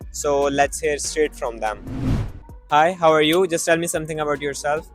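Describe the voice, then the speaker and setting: A man's voice: a little high, normal speed, faint music behind. A man hosting interviews with students, asking short, direct questions. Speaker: interviewer host. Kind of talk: street interview.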